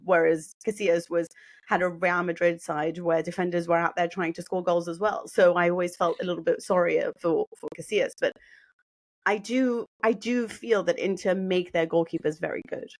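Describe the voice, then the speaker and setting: A woman on a football podcast panel, measured and reasoning aloud. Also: fairly low pitch, steady tone, dry recording. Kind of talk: casual football chat.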